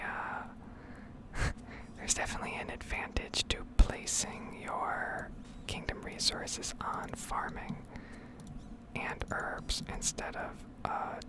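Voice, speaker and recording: A woman whispering breathily in an unhurried, rambling ASMR gaming commentary, thinking aloud close to the microphone. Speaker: gaming ASMR video host.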